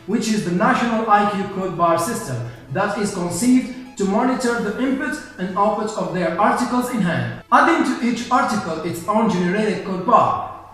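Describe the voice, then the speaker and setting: A young man, earnestly reading a prepared pitch as a student web developer. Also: a bit bright. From Algeria. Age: 20s. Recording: very echoey room with faint music.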